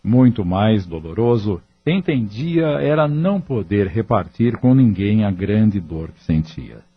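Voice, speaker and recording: A man's voice: on the low side, lively intonation, unhurried, breathy edge, muffled recording. A man narrating a scripted audio drama.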